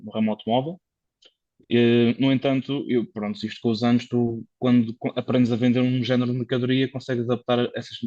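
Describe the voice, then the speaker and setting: A Portuguese man in a relaxed podcast conversation, chatty and explanatory, often circling back with fillers as he talks. His voice sits in a middle pitch, and the recording is dry and a little dull. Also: no room sound. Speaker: guest, car consultant. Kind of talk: casual conversational interview.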